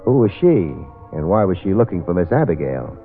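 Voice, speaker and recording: An actor playing a hard-boiled, world-weary private detective in a vintage radio drama, sardonic and clipped. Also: male voice, low-pitched, big pitch swings, a little fast. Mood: brooding, narrating. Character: wry hard-boiled male private detective, narrating his case. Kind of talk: 1940s-50s radio detective drama acting and narration. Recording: tinny old radio recording, music underneath.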